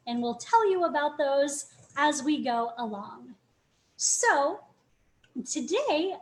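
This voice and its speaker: High-voiced, slow-talking woman host. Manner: upbeat, enthusiastic. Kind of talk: live video chat hosting.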